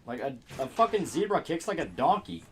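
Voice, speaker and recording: A man's voice: fairly high pitch, sing-song, fairly quick, a little hiss or hum. A man co-hosting a game stream, trading laid-back, wry banter. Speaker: stream co-host. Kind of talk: casual game stream chat.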